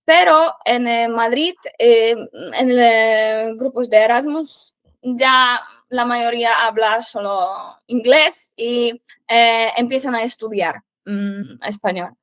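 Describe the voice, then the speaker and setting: Young polish woman, polish accent, slow and halting, keeps pausing for words, slightly high voice. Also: a bit bright, dry recording. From Poland. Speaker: guest, Spanish teacher. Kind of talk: casual interview answers.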